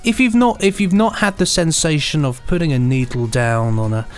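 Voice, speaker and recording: A man's voice: fairly high pitch, normal speed, faint music behind. A British man co-hosting a podcast in a casual, chatty, playful and rambling way. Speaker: podcast co-host.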